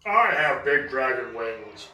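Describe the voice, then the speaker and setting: Man acting dragon father, puppet show, greedy, doting, hammy, unhurried delivery, distant from the mic. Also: mid-range voice, sing-song, bright, forceful tone, heavily acted. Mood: boastful. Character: big greedy dragon dad, doting and pig-obsessed. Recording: roomy, echoing, distant from the mic.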